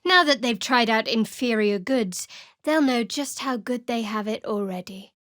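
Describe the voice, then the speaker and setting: A young female cleric from a fantasy video game, guarded and sardonic. Her voice sits a little high, with a dry, measured delivery. The sound is very dry, free of room echo. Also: expressive, a bit bright.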